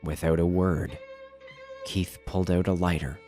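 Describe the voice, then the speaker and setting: A man narrating a scripted horror story in a measured, dramatic storytelling manner. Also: low voice, little pitch movement. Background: music.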